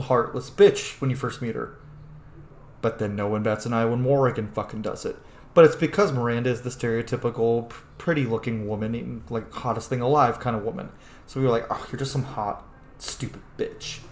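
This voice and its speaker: A man hosts a let's play from a roomy, echoing space, his voice at an ordinary pitch. He talks casually but argues his opinions hard, swearing freely and leaning on his words for emphasis. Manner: profane, emphatic, argumentative. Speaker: gaming video host. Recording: roomy, echoing home microphone.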